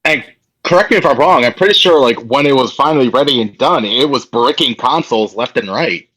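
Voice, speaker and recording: A man's voice: medium pitch, lively intonation, fairly quick, bright, forceful tone, poor audio. A man co-hosting a podcast in slangy, informal, casual chat. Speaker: podcast co-host.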